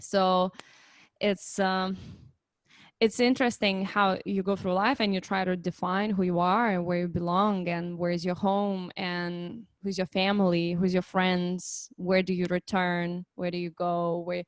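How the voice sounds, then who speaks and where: A woman's voice: fairly low pitch, flat delivery, a little slow, no echo. Woman guest, 30s, thoughtful and hesitant, dry video-call audio. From Lithuania. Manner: thoughtful, hesitant, many fillers. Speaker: musician guest. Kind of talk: reflective interview answers. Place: home, online interview.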